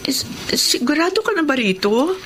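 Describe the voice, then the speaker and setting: A woman's voice: high voice, sing-song, moderate pace, background noise. An actress playing an anxious, tired young mother in a Filipino radio drama.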